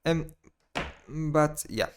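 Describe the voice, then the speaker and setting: A man speaking English with a German accent, his voice sitting a little high for a man. He talks off the cuff in a personal monologue, rambling, stumbling over words and repeating himself as he goes. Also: slight background noise. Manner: rambling, halting, repeats phrases, frequent restarts. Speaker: gaming YouTuber.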